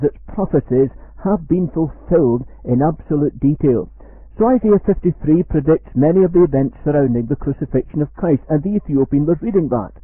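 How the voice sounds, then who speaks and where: A man with a Scottish accent, giving an earnest, measured radio sermon. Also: medium pitch, slightly rough. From Scotland. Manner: measured, earnest preaching, slows for quoted verse. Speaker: preacher. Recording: dry, tinny phone-like radio sound.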